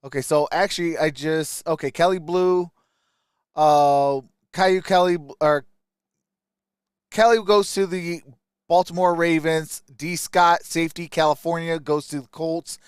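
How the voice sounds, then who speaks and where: A man's voice: on the high side, fairly even intonation, measured pace, clear, resonant tone, dry recording, clean studio sound. A man doing casual live-stream sports commentary as host, talking informally to his viewers. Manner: informal, addresses viewers as y'all.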